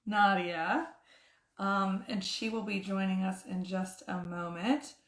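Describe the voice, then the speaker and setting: Upbeat woman hosting an interview, medium voice, quick questions on a call. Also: medium pitch, steady tone, over loud music. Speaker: podcast host.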